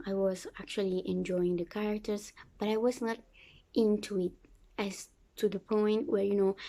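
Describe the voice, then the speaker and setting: A woman's voice: mid-range voice, dark tone. A young woman whose first language is Italian, chatting casually about a book in a reading vlog, with many fillers and restarts. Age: young adult. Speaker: book vlogger.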